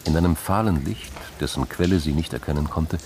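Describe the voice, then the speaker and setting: A man narrating a radio crime drama in a measured, literary storytelling manner. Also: extremely low pitch, darkish tone, slight background noise.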